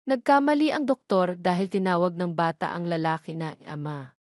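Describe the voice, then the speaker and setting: A woman's voice: on the low side, moderate pace, no room sound, clean studio sound. A woman with a Filipino accent reading scripted narration for a romantic drama story.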